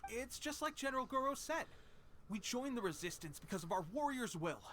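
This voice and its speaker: An actor playing an eager, self-important resistance soldier hungry for glory in a video game. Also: male voice, very high voice, sing-song, a little fast, background noise. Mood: proud, grumbling.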